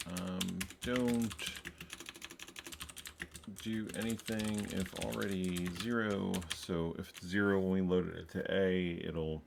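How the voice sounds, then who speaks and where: A low, gravelly male voice that talks slowly, mumbling through his code and stopping to correct himself with dry little asides. Faint keyboard typing clicks sit underneath. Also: steady tone, background noise. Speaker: programmer streaming his coding. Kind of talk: thinking aloud while coding.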